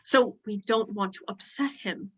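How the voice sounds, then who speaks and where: A woman's voice: mid-range voice, unhurried, slight background noise, lightly acted. A woman playing an earnest, placating young male poet in a period drama reading.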